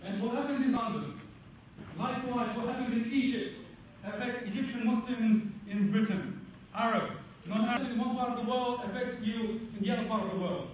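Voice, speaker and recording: Man from Bangladesh, formal meeting chair, higher-pitched, distant and echoey. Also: fairly even intonation, lo-fi sound, slight background noise. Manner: formal and measured, opens with an Arabic blessing. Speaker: event chair and secretary. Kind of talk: formal speech at a public meeting. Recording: echoey hall, voice from far off.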